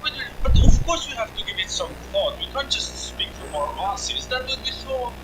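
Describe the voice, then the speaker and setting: A man's voice: extremely high pitch, very animated, normal speed, very noisy. A man whose first language is Estonian, joking and explaining things in casual voice chat with gaming friends.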